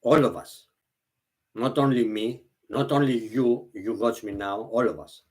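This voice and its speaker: A man whose first language is Greek talks to his viewers in English at a slow, deliberate pace, with a pitch that sits in the middle of the range. He keeps pausing, repeating words and starting his phrases over. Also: rough recording. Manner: halting, repeats and restarts phrases, non-native grammar. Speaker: vlogger. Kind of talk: slow, earnest monologue to camera.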